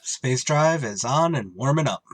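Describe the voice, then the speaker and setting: A man's voice: medium pitch, animated, a little slow, dry recording. A man acting a wry, duty-bound shuttle pilot in a scripted retro radio drama. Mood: wry, dutiful. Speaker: actor and first-person narrator in an audio drama. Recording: clear background noise.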